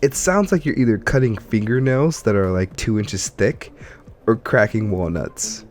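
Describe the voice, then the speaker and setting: A man's voice: fairly low pitch, unhurried, darkish tone, soft background music. A man hosting a casual gaming podcast, chatting while congested from allergies. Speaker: podcast host.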